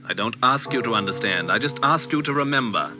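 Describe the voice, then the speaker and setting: Man playing firm secretive young doctor, mid voice, calming, old radio drama w/ music behind. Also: mid-range voice, fairly quick, lightly acted. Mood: firm, soothing. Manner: firm, reassuring. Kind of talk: 1940s radio acting. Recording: muffled vintage radio recording, music behind.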